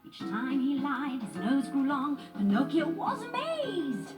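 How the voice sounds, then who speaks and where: A woman's voice: sits high, very animated, unhurried. A woman narrating a children's storybook in a scripted read-along style, with music behind her. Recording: loud guitar music under the voice. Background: sing-along music.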